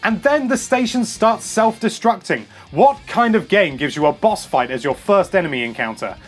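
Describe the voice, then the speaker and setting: A man's voice: sits high, expressive, normal speed. A British man hosting a scripted countdown with an enthusiastic, cheeky manner. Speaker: video host. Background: music.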